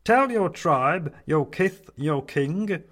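A man voicing a big toy henchman who speaks solemnly in slow rhyming chant. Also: on the high side, animated, a bit bright, pitched above the performer's own voice.

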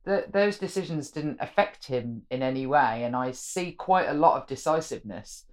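A woman's voice: expressive, normal speed. A woman politician, talking frankly in a casual podcast chat. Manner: frank, swears casually, says she has a deep voice for a woman.

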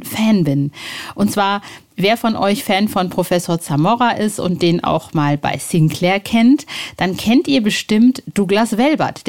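A woman's voice: medium pitch, darkish tone. A woman in her forties hosting a podcast in a casual, chatty way, with colloquial, exclamatory phrasing. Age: 40s. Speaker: podcast host.

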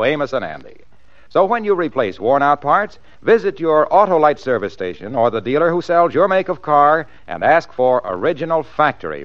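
An American man working as an announcer and sponsor pitchman in 1940s radio style. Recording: dull old radio broadcast recording.

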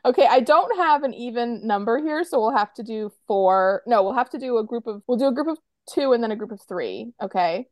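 American female co-host, higher register, dry sound, effusive fan chatter. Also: expressive, a little fast, hardly any pauses, no room sound. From United States. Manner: gushing, effusive. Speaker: podcast co-host leading a game. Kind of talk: casual fan chat.

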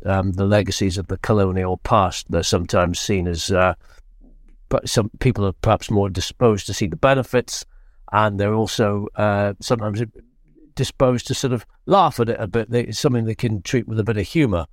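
A British male correspondent talks through his analysis in an interview. His voice sits fairly low and is recorded dry and close. He speaks haltingly, restarting phrases as he goes. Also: fairly even intonation, no echo. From Britain. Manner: halting, hesitant, measured with frequent restarts. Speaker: foreign correspondent, interview guest. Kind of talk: unscripted analysis in podcast interview.

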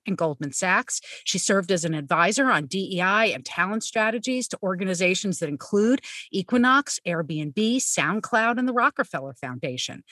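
A woman's voice: medium pitch, fairly bright tone, no echo, clean studio sound. A woman hosting a radio interview, introducing her guest with effusive praise. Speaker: radio show host. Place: radio studio. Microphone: studio mic.

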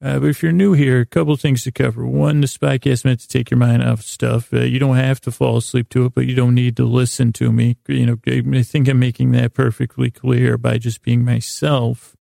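Man, very creaky voice, mellow tone, podcast host, meandering bedtime monologue, halting, self-deprecating. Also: medium pitch, little pitch movement, no room sound, clean studio sound. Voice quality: creaky, gravelly. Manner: meandering, halting, self-deprecating, often losing his thread. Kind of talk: rambling sleep podcast monologue.

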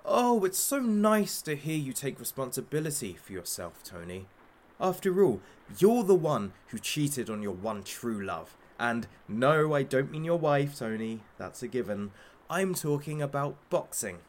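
A man's voice: mid-range voice, very animated, measured pace. An actor playing a masked kidnapper who hosts a sadistic hostage talk show in an audio drama, as a mocking, theatrical showman. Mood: cruel, taunting.

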